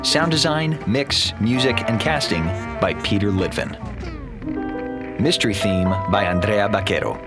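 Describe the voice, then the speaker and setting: Deep male radio announcer over music. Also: measured pace. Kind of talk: scripted radio credits announcement.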